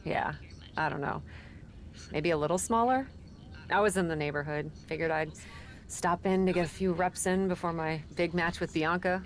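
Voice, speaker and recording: An actress playing a young pro wrestler in a video game, offhand and breezy with a defensive edge. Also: female voice, lowish voice, moderate pace, slight background noise, lightly acted. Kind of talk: casual video game cutscene dialogue.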